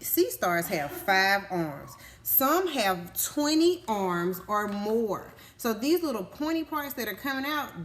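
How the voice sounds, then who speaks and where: Animated woman teaching through a children's read-aloud. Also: medium pitch, slight background noise. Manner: instructive, simple child-directed phrasing with frequent check-in questions. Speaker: teacher reading a picture book to young children.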